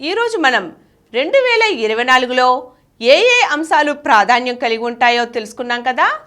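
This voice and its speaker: Lively, high-pitched woman narrator. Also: normal speed. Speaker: narrator reading an article for a channel. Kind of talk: scripted narration, explanatory.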